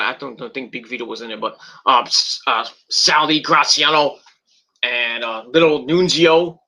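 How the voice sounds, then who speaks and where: Very bright-voiced man with a cold. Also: fairly high pitch. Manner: rambling, halting with many fillers and restarts. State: has a cold with a tickling cough. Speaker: wrestling video reviewer. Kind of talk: casual rambling vlog.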